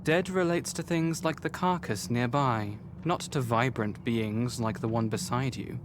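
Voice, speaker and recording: A man narrates a visual novel as a formal, measured storyteller. His pitch sits in the middle range, and there is clear background noise with an ambient rumble under the voice. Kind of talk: scripted literary narration.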